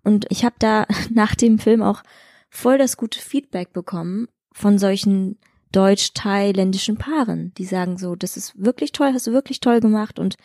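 A woman's voice: medium pitch, moderate pace, mellow, dry recording, studio quality. A young woman, an actress and kung fu trainer, talking frankly and pragmatically as a podcast guest. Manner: frank, pragmatic, laughs often. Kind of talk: candid podcast conversation.

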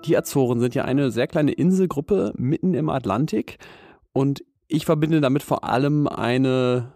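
A man hosting a news podcast, presenting short items and interviewing a colleague in a calm, measured way. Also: medium pitch, no room sound, clean studio sound. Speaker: podcast host.

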